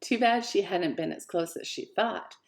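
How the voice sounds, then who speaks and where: A woman author reading her own fiction aloud in an expressive, lively way. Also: mid-range voice, moderate pace. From Canada. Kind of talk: audiobook-style fiction reading.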